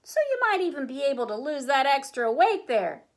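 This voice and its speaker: A woman plays a bossy storybook lady, older and very pleased with herself. The voice is high and swoops about as she gushes in a hoity-toity, exclaiming manner. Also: big pitch swings, brisk. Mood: gushing, smug. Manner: hoity-toity, exclaiming, breezily self-congratulatory.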